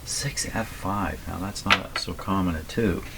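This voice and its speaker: Very low, slightly hoarse, unhurried man. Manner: muttering to himself, reading out settings slowly and deliberately. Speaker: radio repairer and video host. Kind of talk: casual running commentary while working. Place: radio repair workshop at a bench. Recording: clearly noisy workshop recording with clicks.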